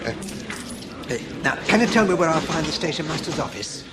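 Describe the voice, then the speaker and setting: A man's voice: fairly high pitch, big pitch swings. An actor in a radio drama playing a hesitant, befuddled ordinary man who is travelling. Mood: flustered. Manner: halting, befuddled. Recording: loud vehicle noise.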